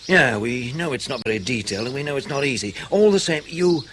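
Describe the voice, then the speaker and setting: An actor playing an unflappable male intelligence officer in a radio drama, laconic, casual and quietly pressing. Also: medium pitch, expressive, fairly quick, slight background noise, natural voice. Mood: quietly probing.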